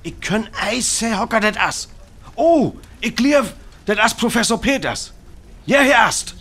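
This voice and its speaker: An actor playing an alarmed radio reporter in a North Frisian radio play, giving an eyewitness account with a North Frisian accent. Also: male voice, very high-pitched, animated, a little slow, pushed and bright, full character voice. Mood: tense watching. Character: alarmed male radio reporter describing an unfolding alien attack.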